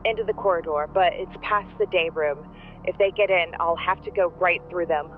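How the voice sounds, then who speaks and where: An actress playing a terrified night nurse in a horror audio drama, breathless and panicky. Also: female voice, fairly low pitch, steady tone, moderate pace, narrow, tinny audio, soft background music, natural voice. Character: terrified female night nurse trapped in a care home.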